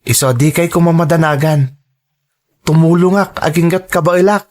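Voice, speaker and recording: A man's voice: a little high, fairly even intonation, moderate pace, darkish tone, close to an everyday voice. A man playing a dutiful teenage son in a radio drama, tender and earnest, with an Ilocano accent.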